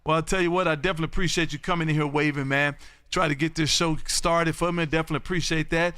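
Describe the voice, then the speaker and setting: A man's voice: on the high side, steady tone, fast talker, sharp tone, clear, resonant tone, dry recording. An American man hosting an amateur radio live stream in a folksy, repetitive patter, chatting casually with callers. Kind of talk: lively ham radio live stream chat.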